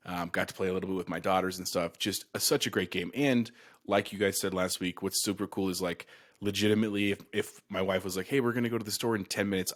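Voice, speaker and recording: A man's voice: deep voice, a bit bright. A man co-hosting a casual gaming podcast, talking in an easygoing, conversational way. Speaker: podcast co-host.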